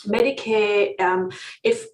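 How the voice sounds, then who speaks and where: A woman's voice: mid-range voice, slow. Brief: British woman, relocation consultant, easygoing live-stream chat, echoey room. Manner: chatty, many ums, laughs easily. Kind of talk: live-stream advice reading viewer comments.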